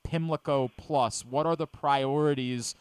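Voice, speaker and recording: Clear-voiced male radio host. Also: medium pitch, fairly even intonation, a little slow, dry recording. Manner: conversational, wordy questioning. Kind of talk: sports talk radio interviewing.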